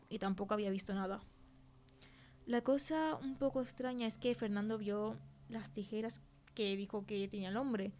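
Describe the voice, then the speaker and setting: A woman's voice: medium pitch, a little mellow, natural voice. An actress playing a shaken young film-crew woman telling an eerie story in colloquial Castilian Spanish, in a chatty, teasing way. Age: young adult. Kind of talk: conversational interview in an audio fiction podcast.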